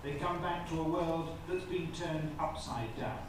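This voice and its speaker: A man's voice: fairly high pitch, fairly even intonation, measured pace. A man reading a scripted news report as a reporter. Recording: very echoey, distant, loud background noise.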